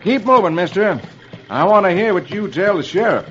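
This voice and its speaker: A man's voice: high voice, animated, moderate pace, fairly bright tone, pitched above the performer's own voice. A man voicing a confident, plucky cowboy hero with a firm, hearty manner, in an old radio comic-strip reading. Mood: stern. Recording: noisy, muffled old radio recording.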